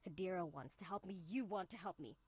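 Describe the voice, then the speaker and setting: A woman's voice: on the low side, quick pace, a little mellow, husky. A woman playing a bitter, sarcastic, guarded young woman in a visual novel game.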